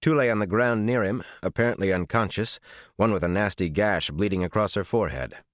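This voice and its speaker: A man reading a dramatic fantasy audiobook as its narrator, with a measured, intense and expressive delivery. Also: lowish voice, no echo, studio quality.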